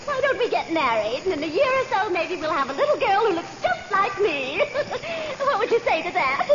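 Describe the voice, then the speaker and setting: A woman playing a brash, man-hungry spinster character with a shrill, swooping comic delivery in 1940s radio comedy. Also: very animated, normal speed. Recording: dull lo-fi 1940s radio broadcast with hiss.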